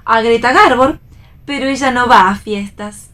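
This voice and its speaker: A woman's voice: a little high, normal speed, sharp tone. An Argentine actress playing a playful, teasing, defiant young wife and mother in a radio play reading.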